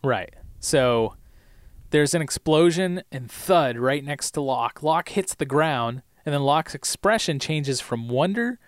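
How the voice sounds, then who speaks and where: A man's voice: fairly high pitch, expressive, takes it slowly, dry recording, clean studio sound. A man co-hosting a podcast, recapping scenes in a casual, chatty way, laughing often and saying his voice is going. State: losing his voice. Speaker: podcast co-host.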